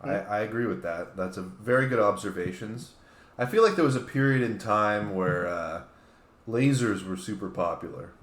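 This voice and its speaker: A man with a lowish voice co-hosts a casual chat. The sound is noticeably echoey, as if in a reverberant room. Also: lively intonation, moderate pace. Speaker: talk show co-host.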